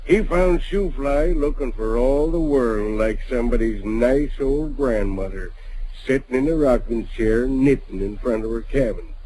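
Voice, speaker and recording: A man giving scripted, measured narration for a radio western. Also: medium pitch, dark tone, low-quality recording. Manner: measured storytelling delivery.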